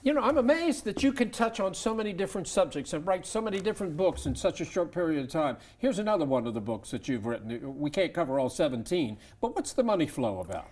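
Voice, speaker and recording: A male television host with a lively, animated voice that rises and falls a lot. He asks his guest conversational questions and offers praise between them. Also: fairly high pitch, quick pace, hardly any pauses. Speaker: TV show host. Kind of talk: television interview.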